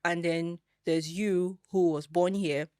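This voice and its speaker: A woman's voice: fairly low pitch, takes it slowly, no echo, clean studio sound. A young adult woman from Nigeria with a Nigerian accent, hosting a casual podcast chat and telling stories animatedly with laughs. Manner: animated, laughs often, tells stories with rising excitement. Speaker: podcast host.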